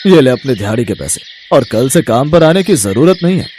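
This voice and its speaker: An actor playing a scornful, callous rich employer with a harsh, dismissive manner in a story. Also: male voice, medium pitch, very animated, brisk, a little mellow, slight background noise, close to an everyday voice.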